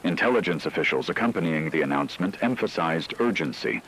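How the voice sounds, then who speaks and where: Solemn male emergency radio anchor, played by a man, low register, measured emphatic delivery, faint noisy ambience. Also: animated, a bit bright. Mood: grave, ominous. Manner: solemn, deliberate, short emphatic sentences. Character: grave male radio news anchor of a fictional war. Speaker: news anchor. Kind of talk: scripted emergency radio broadcast narration.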